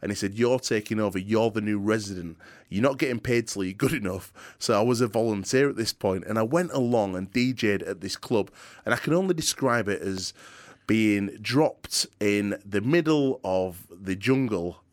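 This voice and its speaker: Guest: middle-aged Yorkshire bloke, low-pitched, energetic and earnest, studio mic. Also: male voice, moderate pace. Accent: Yorkshire, softened. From Yorkshire, England. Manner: energetic, earnest, some restarts. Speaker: DJ and presenter, interview guest. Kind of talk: interview answers, storytelling. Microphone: studio microphone.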